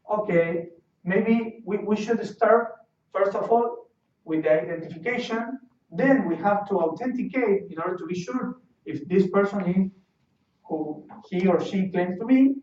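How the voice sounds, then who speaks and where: A man from Argentina with an Argentine Spanish accent, a Spanish speaker giving a technical presentation at a meeting, halting and repeating words as he searches for them. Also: sits high, darkish tone, a little hiss or hum. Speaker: guest speaker. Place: meeting room. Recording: roomy, distant, dull sound.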